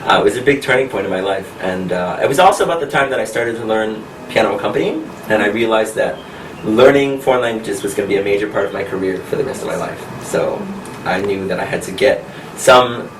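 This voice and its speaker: A man from the United States in his thirties, a pianist and coach answering interview questions in a friendly, unhurried way with frequent laughs. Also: on the low side. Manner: friendly, laughs often, speaks slowly and clearly. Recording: echoey room recorded from a distance with noise.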